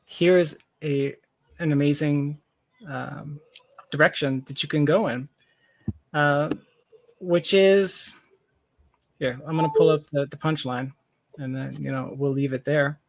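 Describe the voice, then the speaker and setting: A man's voice: a little high, measured pace, pauses often, a little hiss or hum. A man speaking as an interview guest in casual, reflective conversation, searching for his phrasing as he goes. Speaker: interview guest, tech founder.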